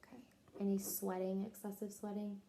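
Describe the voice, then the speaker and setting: A woman with a very mellow, soft-edged voice conducts a health interview, moving briskly from one short question to the next. Her pitch is average for a woman. There is faint room noise behind her. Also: little pitch movement. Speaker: interviewer taking a health history. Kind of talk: clinical question-and-answer interview.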